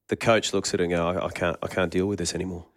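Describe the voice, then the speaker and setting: A man's voice: low voice, little pitch movement, racing, dry recording, clean studio sound. A man asking long, probing interview questions, hesitant as he searches for words.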